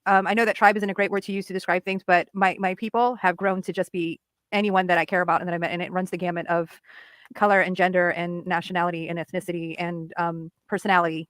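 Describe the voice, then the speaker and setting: A woman's voice: on the low side, level tone, quick pace, fairly bright tone, no echo. A woman whose first language is Portuguese, co-hosting a casual chat and speaking candidly with lots of fillers. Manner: candid, chatty, sprinkles fillers and laughs. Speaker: co-host.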